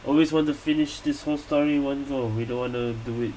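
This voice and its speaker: A woman's voice: extremely low pitch, fairly even intonation, fast talker, slight background noise, low-quality recording. A woman giving casual, laid-back gameplay commentary in short muttered asides. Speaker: gaming video creator. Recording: game music loud behind voice.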